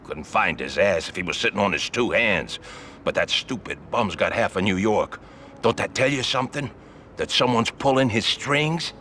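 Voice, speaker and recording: An actor playing a crude, sly old-guard mobster in a video game, blunt and needling. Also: male voice, lowish voice, animated, moderate pace, sharp tone, a little hiss or hum. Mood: scornful. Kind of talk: video game cutscene acting.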